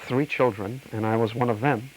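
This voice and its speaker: An older man from Slovakia, recounting his life in a measured, slightly formal way. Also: mid-range voice, fairly even intonation, rough recording. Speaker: interviewee. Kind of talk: personal oral-history reminiscence.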